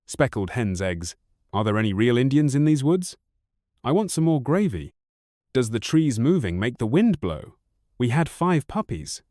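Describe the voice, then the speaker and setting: A man voicing a wild, boastful ten-year-old boy who chatters on in rapid-fire questions. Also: medium pitch, darkish tone, dry recording, clean studio sound.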